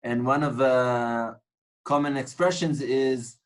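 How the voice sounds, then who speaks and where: A man's voice: medium pitch, fairly even intonation, takes it slowly. Man lecturing, earnest and reflective. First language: Hebrew. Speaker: tour guide instructor. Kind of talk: informal lecture on guiding.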